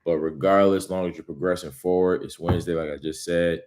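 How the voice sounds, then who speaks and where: A man's voice: low-pitched, fairly even intonation, quick pace, a little mellow. A man giving a casual motivational vlog monologue, rambling with emphatic bursts and self-help pep talk. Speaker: vlogger.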